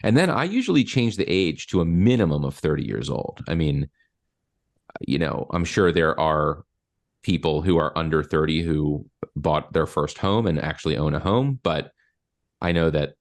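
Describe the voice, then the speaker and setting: A man's voice: extremely low pitch, expressive, normal speed, vocal fry. A man in his forties coaching a client step by step over a video call, explaining with many fillers. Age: 40s. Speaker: marketing coach. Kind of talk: screen-share coaching session.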